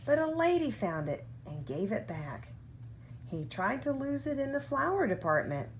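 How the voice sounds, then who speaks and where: A woman reading a children's picture book aloud like a parent at bedtime, with expressive, deliberate phrasing and drawn-out key words. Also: high voice, low-quality recording. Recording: home recording with faint background noise.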